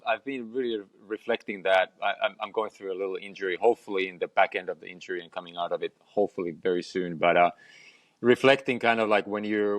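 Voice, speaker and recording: A man's voice: on the low side, no echo. A man, a guest on a podcast, speaking reflectively and often repeating words as he gathers his thoughts. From Finland. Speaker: guest, health and lifestyle coach. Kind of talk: conversational podcast interview.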